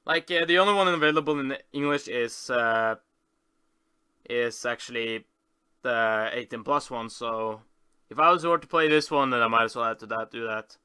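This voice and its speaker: A man with a very bright, forceful voice and a clear, smooth tone talks through a casual screen tour. He rambles, stops short and corrects himself as he goes. The sound is close and dry. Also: mid-range voice, dry recording. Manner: rambling, halting, self-correcting. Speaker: video host.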